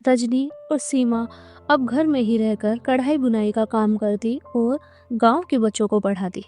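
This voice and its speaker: Higher-pitched, mellow woman storyteller. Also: unhurried, faint music behind. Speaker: narrator. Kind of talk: scripted storytelling narration for a cartoon.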